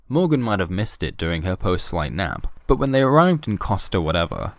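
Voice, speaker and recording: Deep-voiced man narrating a horror story. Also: no echo.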